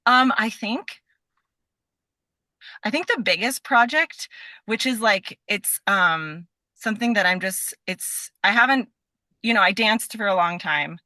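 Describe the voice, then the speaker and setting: A young American woman with a bright, forward voice at an ordinary pitch, recorded dry and close. She talks casually and effusively, circling through her sentences and peppering them with "like" as she goes. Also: expressive, unhurried, dry recording. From Nevada, United States. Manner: effusive, rambling, peppers talk with "like". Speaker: podcast guest, stage and voice actor. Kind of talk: casual chat.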